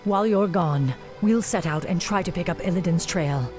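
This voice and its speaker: A stern elven warrior woman, played by an actress, speaks in a lowish, commanding voice. Her delivery is formal and impatient, in video game cutscene style over prominent music. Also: normal speed, darkish tone, natural voice. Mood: resolute, respectful. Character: stern, impatient night elf warrior woman hunting a demon.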